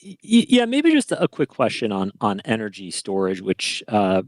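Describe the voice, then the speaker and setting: Slightly low-voiced male analyst, hesitant. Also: wildly swooping pitch, normal speed, no echo. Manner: hesitant, stammering starts. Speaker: financial analyst asking questions. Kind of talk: prepared questions on earnings call.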